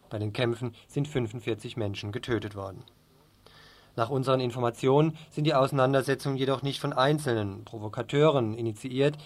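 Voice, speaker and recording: A man presenting a scripted news report on the radio. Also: mid-range voice.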